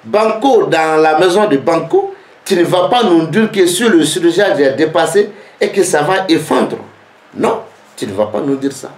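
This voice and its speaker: A man teaching geomancy in French, which is not his first language, speaking slowly and repeating rhetorical questions. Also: on the high side, very animated, echoey room. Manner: slow, repetitive, rhetorical questions. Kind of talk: spoken lesson to camera.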